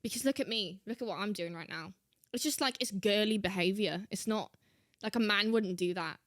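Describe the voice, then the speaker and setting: A woman's voice: medium pitch, a little fast, a bit bright, no echo, studio quality. A woman doing an exaggerated put-on British accent, giving theatrical, playful reaction commentary and repeating phrases for emphasis. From United States. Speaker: host of a reaction show.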